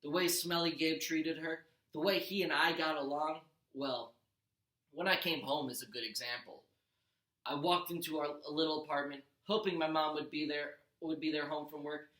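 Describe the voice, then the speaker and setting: A man's voice: a little high, fairly even intonation, moderate pace, sharp tone. A man reading a story aloud like a teacher to a class, expressively, with the odd stumble and self-correction. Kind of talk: animated children's audiobook read-aloud. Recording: echoey room.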